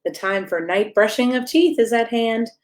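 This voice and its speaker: A woman's voice: on the high side, moderate pace. A woman reads a rhyming picture book expressively to children, playfully speaking to her young listeners as she goes. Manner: playful, addressing young listeners directly with questions. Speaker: pastor reading a bedtime story.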